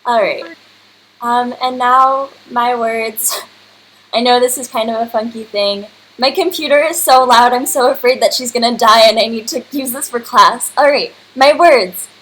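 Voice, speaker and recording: A woman's voice: fairly high pitch, unhurried, a bit bright. A woman in her early twenties hosting casually and effusively on a livestream. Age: early 20s. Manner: effusive, informal. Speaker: host and curator. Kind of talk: casual unscripted livestream address. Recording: clearly noisy home computer livestream.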